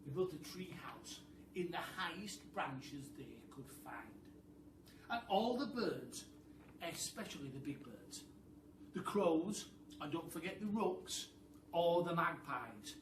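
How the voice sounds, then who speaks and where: A man telling a story to children like a primary teacher, informal, with chatty asides straight to the listeners. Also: a little high. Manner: informal, addressing children directly. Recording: roomy, noisy, distant microphone.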